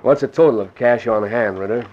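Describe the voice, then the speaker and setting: An actor playing a jumpy, suspicious criminal in an edgy, blunt 1940s radio drama style. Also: male voice, medium pitch, brisk, close to an everyday voice. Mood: wary. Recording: dull vintage 1940s radio recording.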